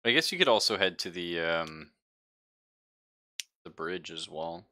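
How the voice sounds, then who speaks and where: A man's voice: low-pitched, expressive, unhurried, bright, forceful tone, a little hiss or hum. A man casually chatting and narrating as game master of a live-streamed tabletop roleplaying game.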